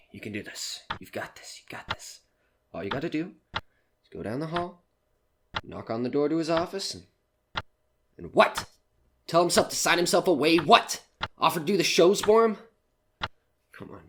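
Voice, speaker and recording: A man's voice: a little high, big pitch swings, moderate pace, long pauses, heavy background noise. A man playing a desperate, nervous small-time comedian in an audio horror drama, stammering and pleading. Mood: anxious self-coaxing, then self-doubting.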